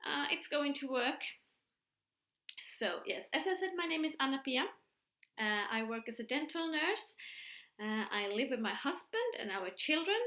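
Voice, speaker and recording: A woman's voice: fairly high pitch, pushed and bright, reverberant. A middle-aged woman from Finland with a Finland-Swedish accent, hosting a casual podcast chat in English, hesitant, with many fillers and restarts. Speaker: podcast host. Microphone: computer mic.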